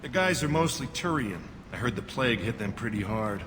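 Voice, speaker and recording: Game role: cynical alien quarantine guard male actor, mid-pitched, dry and dismissive, reverberant with noisy ambience. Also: animated, a little fast, lightly acted. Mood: matter-of-fact. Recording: reverberant, with loud background noise.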